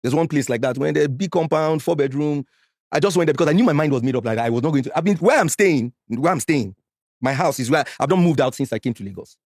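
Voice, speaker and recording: A Nigerian man in his forties telling a painful personal story as a podcast guest, animated and slipping into Pidgin when worked up. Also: fast, dry recording, clean studio sound. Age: 40s. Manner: animated, mixes Pidgin, gets loud and high when worked up.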